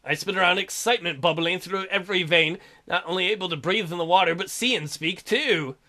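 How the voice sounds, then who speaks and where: A man with a high, bright voice hosts a let's play. He reads story text aloud and cuts in with irreverent, mocking commentary. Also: fairly quick. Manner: irreverent, crude joking, mocking. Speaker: gaming video host, let's player. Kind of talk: reading visual novel aloud with joking commentary.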